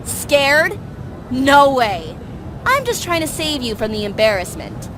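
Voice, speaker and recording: A woman's voice: sits high, very animated, takes it slowly, noisy background, heavily acted. A woman playing a fierce, proud, hot-tempered young student pilot in a voiced game, scornful and defiant. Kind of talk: voiced anime-style game acting. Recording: game music behind the voice.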